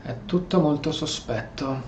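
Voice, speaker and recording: A man's voice: a little high, normal speed, noisy background. A man giving casual, playful gameplay commentary as a streamer, with muttered asides. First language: Italian. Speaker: gaming streamer. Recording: echoey room, faint game music behind.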